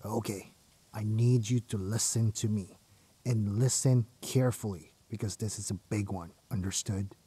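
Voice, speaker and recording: An actor in an audio drama playing a hardened, commanding rebel gang leader, fiercely loyal and ruthless, with a husky voice. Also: male voice, fairly low pitch, fairly even intonation, moderate pace, mellow. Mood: grim, commanding. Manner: commanding, intense. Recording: music under the voice.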